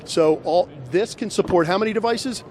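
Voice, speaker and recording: A man's voice: sits high, normal speed, darkish tone. A middle-aged man presenting products conversationally into a handheld microphone in a trade show hall. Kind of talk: promotional product demonstration, conversational. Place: large busy trade show hall, indoors. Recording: clear background noise of exhibition hall.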